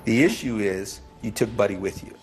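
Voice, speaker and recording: Joking TV judge questioning litigants. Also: male voice, fairly low pitch, normal speed, a little mellow, faint music behind, low-quality recording. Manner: joking, plainspoken, presses witnesses. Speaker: TV court judge. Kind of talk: courtroom show questioning.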